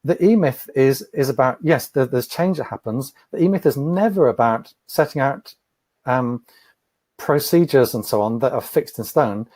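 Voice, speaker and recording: A man's voice: mid-range voice, darkish tone, no room sound. Entrepreneur guy on a podcast, thoughtful, kinda stutter-starts, dry no-room sound. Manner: thoughtful, measured, repeats words as he starts. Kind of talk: interview answers.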